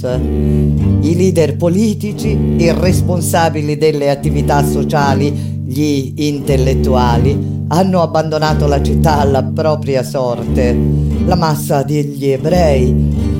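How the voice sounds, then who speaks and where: Slow, solemn woman narrating over guitar. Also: deep voice. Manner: solemn, deliberate, measured with pauses. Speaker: theatre actress narrator. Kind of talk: dramatic theatrical scripted narration. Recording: voice over loud plucked guitar music. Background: loud acoustic guitar music.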